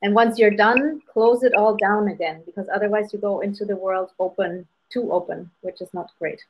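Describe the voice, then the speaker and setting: A woman from Germany with a German accent, hosting live spirit readings and guiding a meditation over a video call in a relaxed way, often saying "um" and "like". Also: mid-range voice, moderate pace, highs cut off, slight background noise. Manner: relaxed, many fillers like "um" and "like". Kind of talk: casual guided meditation and readings.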